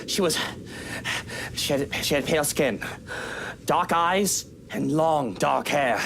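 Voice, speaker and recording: A woman's voice: sits low, takes it slowly, vocal fry, noisy background, exaggerated voice. A woman voicing a haughty, wealthy noble, rattled and indignant, in a visual novel game. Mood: shaken.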